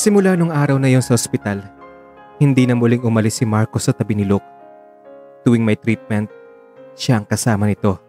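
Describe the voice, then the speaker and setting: A man narrating a scripted drama story as its storyteller. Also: fairly low pitch. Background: faint music.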